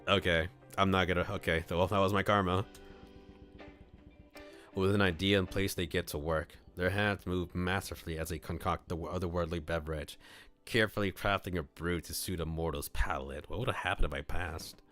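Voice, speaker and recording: A man on a livestream reading a visual novel aloud, mixing scripted narration with casual asides where he thinks out loud. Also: deep voice, steady tone, brisk. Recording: music playing behind the voice. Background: clear background music.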